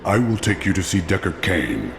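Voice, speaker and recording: A man's voice: low-pitched, sing-song, moderate pace, over music. A man voicing a stern, resolute hunter in a video game. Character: stern male hunter on a quest.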